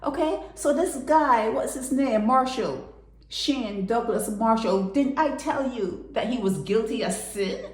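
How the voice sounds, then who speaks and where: An indignant woman holds forth alone, pressing one rhetorical question after another. Her voice sits fairly high and she takes her time, letting each word land slowly. The sound is roomy and echoey. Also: darkish tone. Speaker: commentator. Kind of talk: impassioned solo commentary.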